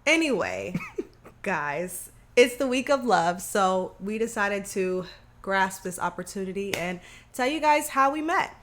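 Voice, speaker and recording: A young woman co-hosting a casual podcast, her voice a little nasal from allergies, lively and laughing a lot. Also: mid-range voice, measured pace, a little hiss or hum. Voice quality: slightly nasal. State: recovering from allergies. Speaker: podcast co-host. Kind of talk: casual chat.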